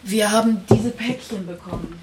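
An actress playing an anxious, evasive middle-aged wife, speaking hesitantly in a crime radio drama. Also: female voice, medium pitch, heavy background noise, natural voice. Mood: worried. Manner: hesitant, halting.

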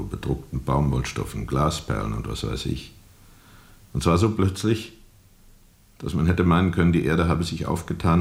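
An actor playing a world-weary, seasoned steamboat captain in a radio drama, recounting dark memories deliberately and sardonically in a gravelly voice. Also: male voice, rumbling low, exaggerated voice. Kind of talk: radio drama monologue and dialogue.

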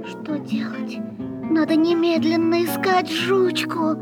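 Young boy, very high voice, slow drawn-out delivery, distressed, audio play, loud music behind. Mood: anxious. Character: distressed young boy searching for his lost dog.

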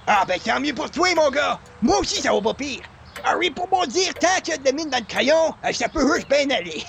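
A man's voice: very high-pitched, sing-song, brisk, vocal fry, background noise, exaggerated voice. A man playing a spry, folksy old grandpa with a cracked voice and a colloquial Quebec French accent in a radio comedy. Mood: cheerful, folksy. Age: older. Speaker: actor in an audio comedy.

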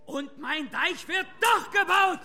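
An actor playing a defiant, driven dike master who addresses villagers in emphatic, clipped declarations in a radio drama. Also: male voice, extremely high pitch, slow pace, sharp tone, soft background music, full character voice.

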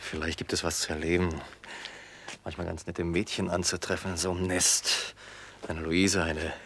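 A man's voice: low-pitched, level tone, moderate pace, a bit bright, slight background noise. An actor playing a breezy, self-satisfied male travelling salesman in a radio drama, speaking in casual, clipped phrases. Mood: idle musing. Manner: casual, clipped musing to himself. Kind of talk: German radio drama acting.

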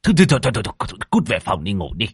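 A gruff, foul-mouthed old man, as a male narrator puts him on, brushing people off with a voice that jumps between low grumbles and sudden barks. The sound is dry and close. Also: sing-song, moderate pace, no echo, exaggerated voice. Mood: irritated. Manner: gruff, dismissive, cursing. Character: rude short-tempered old opium-smoking man.

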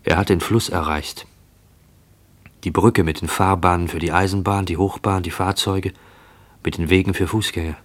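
An actor playing a haunted, obsessed man who narrates his pursuit of a stranger in a measured, introspective radio play monologue. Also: deep voice, steady tone, close to an everyday voice. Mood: brooding, fixated.

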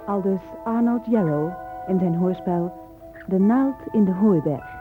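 A woman announcer reading scripted radio play credits in a formal, measured way. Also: mid-range voice. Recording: muffled old radio recording. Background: music.

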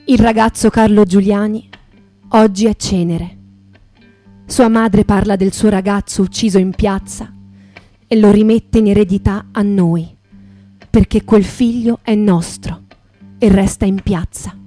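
A woman's voice: medium pitch, steady tone, measured pace, pauses often, dark tone, lightly acted. A woman playing a grieving mother, a retired schoolteacher, in a radio theatre monologue. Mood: grave, grieving. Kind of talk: radio theatre monologue over guitar music. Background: acoustic guitar music.

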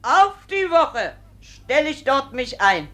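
Casting: indignant village mother, high voice, slow weighty delivery, righteous, classic radio drama. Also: sing-song, exaggerated voice. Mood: stubborn. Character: indignant, stubborn village matron seeking justice. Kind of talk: 1950s German radio play acting.

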